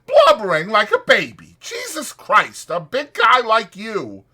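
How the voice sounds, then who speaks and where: Guy doing a bossy scolding ranch hand from a storybook, pitched up and loud, swingy delivery. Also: huge pitch swings, a little slow, heavily acted. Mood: exasperated, angry. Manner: brusque, rural American vernacular, scolding. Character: sharp, impatient ranch hand, bossy but caring.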